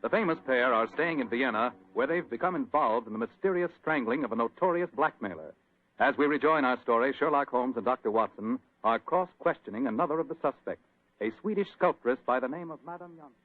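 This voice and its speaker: A male announcer introducing a radio drama segment with smooth, formal scripted delivery. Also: medium pitch, expressive, brisk, dry recording. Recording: thin phone-like old radio recording.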